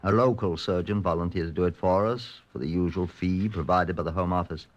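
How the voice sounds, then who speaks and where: A composed British Scotland Yard chief inspector, played by a male radio actor, speaking in a low voice. He is clipped, methodical and authoritative, in the manner of an old radio crime drama. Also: steady tone, a little fast, dull audio, close to an everyday voice. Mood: measured narration.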